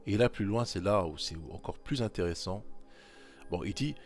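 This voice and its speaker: A man with a fairly low voice talks through scripture verses in a loose, informal way. He keeps coming back to the same points and presses them on the listener, talking straight to them. Faint music plays quietly underneath. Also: moderate pace. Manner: insistent, repetitive exhortation. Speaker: religious commentator.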